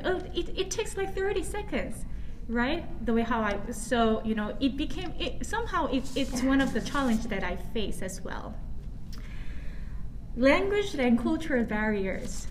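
Korean-accented woman in her thirties, high voice, earnest and halting, faint room hiss behind. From South Korea. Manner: earnest, halting, frequent "you know" fillers. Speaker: university staff speaker. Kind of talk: personal talk at a panel session.

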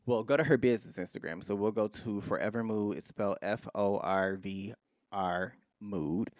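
A man's voice: fairly low pitch, moderate pace, dry recording. Opinionated man on a podcast, dry sound. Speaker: podcast co-host. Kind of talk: casual pop culture chat. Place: podcast studio.

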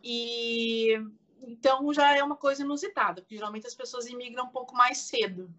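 A woman's voice: a little high, brisk, a bit bright, lo-fi sound. A woman in her forties with a southern Brazilian Portuguese accent, chatting informally as an interview guest. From Curitiba, Brazil. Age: 40s. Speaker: interview guest, content creator. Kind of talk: casual chat.

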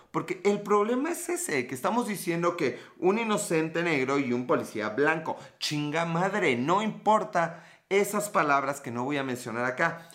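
A man's voice: a little high, fairly bright tone. A Mexican man with a Mexican accent hosting a casual livestream, greeting viewers by name and joking with profanity and sarcasm. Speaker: livestream host.

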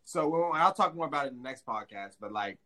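A man hosting a podcast in casual chat, relaxed and chatty with quick laughs. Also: medium pitch. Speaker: podcast host.

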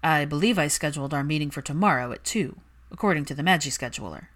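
A woman voicing a reserved, formal young wizard man with a smooth way of talking in a story reading. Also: deep voice, lively intonation, fast talker, a bit bright. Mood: cool, formal.

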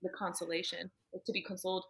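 A woman's voice: on the low side, flat delivery, fast, creaky, fairly bright tone. Earnest young woman, filler-heavy chat. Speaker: podcast guest. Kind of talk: casual faith conversation.

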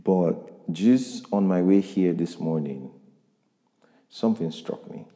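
Male podcast interviewer, deep and reflective, recorded in a reverberant room. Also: slow, dark tone. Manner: reflective, motivational, with frequent restarts. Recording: echoey room with long reverb.

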